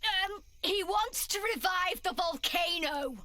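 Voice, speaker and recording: Brash young female mercenary, high bright voice, sarcastic, game cutscene. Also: slow pace, dry recording, heavily acted. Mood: playful. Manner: sarcastic, playful. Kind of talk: video game cutscene acting.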